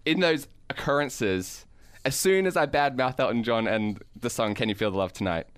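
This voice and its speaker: A man with a clear, smooth voice and a medium pitch chats as a podcast co-host. His delivery is casual and jokey, rambling through a story. The recording is dry and close. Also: moderate pace, dry recording. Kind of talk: casual comedic chat.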